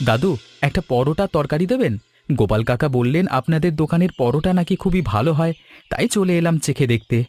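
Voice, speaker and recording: Appreciative, mannerly male customer for a cartoon, man acting, effusive and expressive, light music underneath. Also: on the high side, brisk, dry recording, close to an everyday voice. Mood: delighted. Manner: courteous, effusive. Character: polite, appreciative male office-worker customer. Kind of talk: cartoon story dialogue.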